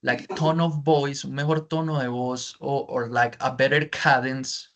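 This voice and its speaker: A man's voice: medium pitch, level tone, moderate pace, fairly bright tone. Guy teaching english w a thick spanish accent, on a video call, flips into spanish to repeat stuff. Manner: switches into Spanish to restate points. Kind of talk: online feedback session.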